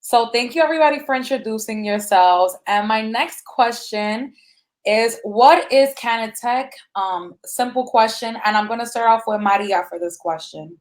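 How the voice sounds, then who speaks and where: A woman's voice: a little high, moderate pace. A woman hosting a panel in a casual, chatty style, moderating between the guests.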